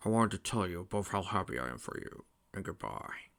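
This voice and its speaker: A man voicing a grave, weary old fatherly king in a hushed, breathy voice for a story. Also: sits low, lively intonation, fairly quick, exaggerated voice. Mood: grave, resigned.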